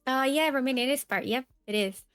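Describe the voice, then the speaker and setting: A young woman from Portugal with a Portuguese accent, chatting softly and hesitantly as a guest, laughing often. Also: high voice, a little fast, clear, resonant tone, dry recording, soft background music. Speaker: guest streamer. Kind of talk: casual podcast chat.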